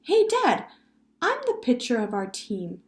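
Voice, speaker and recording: A woman voicing an eager, boastful young son in a children's story, insistent and excitable. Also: a little high, a little slow, roomy sound, voice pushed up.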